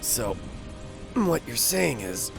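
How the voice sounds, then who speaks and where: A man's voice: mid-range voice, big pitch swings, slow pace, creaky, exaggerated voice. An actor playing a wisecracking adult male ship skipper in a fan audio drama, sarcastic and taunting. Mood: mocking. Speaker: hero. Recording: music underneath.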